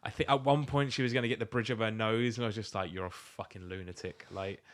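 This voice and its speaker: A man's voice: lowish voice, fairly even intonation, fast talker. An English man co-hosting a podcast in casual comic chat, talking in a rambling, colloquial way with plenty of swearing. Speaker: podcast co-host.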